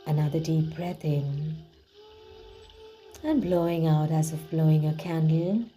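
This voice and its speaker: A woman leading a guided relaxation visualization in a soothing, hushed manner with gently drawn-out phrasing. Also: low voice, fairly even intonation. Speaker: guided meditation host. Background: background music.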